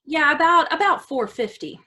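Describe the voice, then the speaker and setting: An actress playing an easygoing but guarded hostess with a casual, probing manner in a staged play reading. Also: female voice, sits high, expressive, normal speed, pushed and bright. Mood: uncertain. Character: easygoing, prying woman host, guarded about herself.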